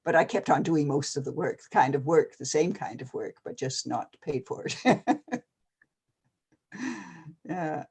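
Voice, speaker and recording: An older person from Canada, talking casually and hesitantly with many pauses and fillers. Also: mid-range voice, darkish tone, slightly rough. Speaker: retired NGO advisor. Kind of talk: casual video-call conversation.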